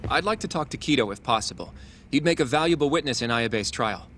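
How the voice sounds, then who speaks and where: An earnest young lawyer, acted by a man for a video game. He is polite and a little nervous, at a middling pitch, with faint music behind him. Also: expressive, fast, a bit bright, natural voice. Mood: upbeat. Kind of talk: English video game cutscene dub.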